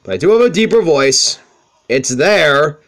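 A young man with a high-pitched voice, swearing freely as he tells rambling stories over gameplay. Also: animated, measured pace, a bit bright. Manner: profane, rambling, self-deprecating. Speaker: let's play commentator. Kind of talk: casual gameplay commentary with storytelling.

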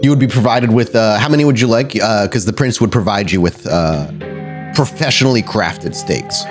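A man's voice: lowish voice, moderate pace. A man narrating a tabletop roleplaying game as the game master. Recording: music behind the voice.

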